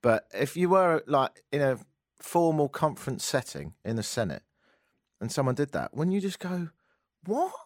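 A man's voice: on the high side, big pitch swings, moderate pace, no room sound, studio quality. A man hosting a radio show, delivering a mocking, exasperated comic rant.

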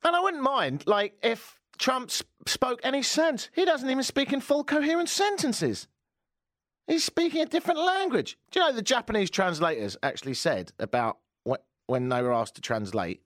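A man hosting a talk radio show, sardonic and animated, joking and ranting about the news. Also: very high-pitched, moderate pace, no echo, studio quality. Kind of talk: opinionated talk radio chat. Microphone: studio microphone.

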